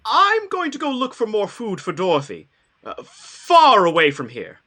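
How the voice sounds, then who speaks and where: A woman playing a naive, eager, bumbling living scarecrow in a live fantasy table read, with halting delivery. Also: mid-range voice, wildly swooping pitch, fairly bright tone. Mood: awkward.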